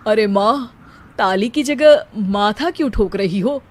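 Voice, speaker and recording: A woman's voice: medium pitch, measured pace, lightly acted. A woman voicing a lazy, self-pitying young husband who declaims silly rhyming couplets in a comic cartoon story. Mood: complaining. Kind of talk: comic animated Hindi moral story.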